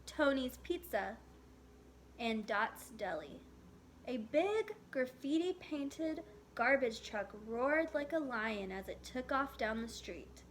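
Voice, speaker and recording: A woman reading a children's story aloud as expressive scripted narration. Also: a little high, a little hiss or hum. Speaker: storybook reader.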